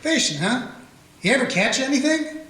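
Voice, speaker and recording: An actor playing a steady small-town lawman who narrates and speaks in plain, folksy talk in a radio play. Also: very high-pitched, moderate pace, heavily acted. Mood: calm. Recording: roomy echo with music.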